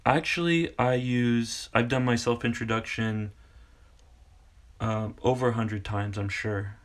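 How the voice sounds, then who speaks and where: A man in his forties from Kansas, a teacher casually explaining classroom games in a rambling, halting way full of fillers. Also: mid-range voice, steady tone. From Kansas, United States. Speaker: English teacher and video host. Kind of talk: casual tutorial talk.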